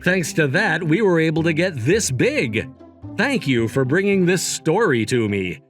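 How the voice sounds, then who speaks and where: A man's voice: on the high side, big pitch swings, unhurried, faint music behind. A man voicing a composed, principled young company CEO in a manga dub, speaking in a firm, explanatory way. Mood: calm, appreciative. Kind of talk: manga dub narration and dialogue.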